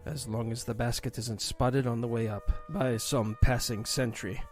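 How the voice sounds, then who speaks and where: Guy as cool suave spy, wry quips, medium pitch, music playing. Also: steady tone, normal speed, darkish tone, close to an everyday voice. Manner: wry, unflappable. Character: suave, wry male British secret agent.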